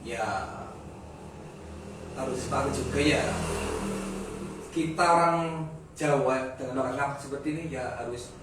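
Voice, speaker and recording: A man's voice: mid-range voice, steady tone, measured pace, pauses often. A young man with a Brebes ngapak Javanese accent, speaking hesitantly in a casual group discussion. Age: young adult. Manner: hesitant, searching for words. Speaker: discussion participant. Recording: echoey room, distant mic, background noise with traffic.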